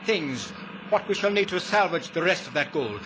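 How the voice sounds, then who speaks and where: Actor as menacing, formal treasure hunter, noisy recording. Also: male voice, on the high side, animated, normal speed, close to an everyday voice. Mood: formal, suspicious. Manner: formal, clipped, stilted phrasing. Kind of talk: 1950s radio drama acting. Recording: noisy old radio recording.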